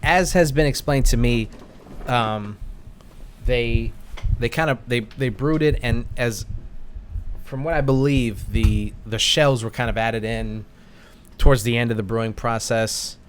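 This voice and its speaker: A man's voice: mid-range voice, a little slow, a little hiss or hum. Rambling man hosting a beer podcast. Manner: rambling, with restarts and laughs. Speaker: podcast co-host. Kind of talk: casual chat over beers.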